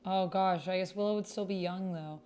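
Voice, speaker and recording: Lower-voiced woman chatting casually on stream. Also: steady tone, fairly quick, a little mellow. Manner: chatty, musing to herself. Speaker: streamer playing a solo tabletop roleplay game. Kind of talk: casual livestream chat, thinking aloud.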